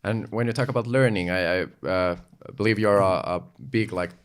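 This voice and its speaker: A man's voice: on the low side, moderate pace, clean tone. A man hosting an interview, asking short questions and nodding along. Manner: brief questions and back-channel "mm-hmm".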